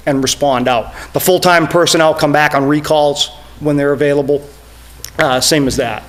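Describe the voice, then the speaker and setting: Middle-aged man presenting, voice wearing thin. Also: fairly high pitch. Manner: steady explanatory, many "uh" fillers. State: losing his voice. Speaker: fire chief presenting budget. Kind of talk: committee presentation. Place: town meeting room.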